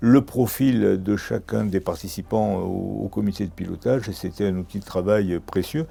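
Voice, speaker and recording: A man with a gravelly, husky voice giving formal, measured interview testimony. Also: sits low, dark tone.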